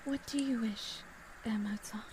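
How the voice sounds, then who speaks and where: Lady acting sweet genteel young miss in an old-timey audio drama, high voice, polite n sincere, little bit of noise. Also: fairly even intonation, measured pace, darkish tone, lightly acted. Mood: hushed, tender. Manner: polite, formal, old-fashioned phrasing.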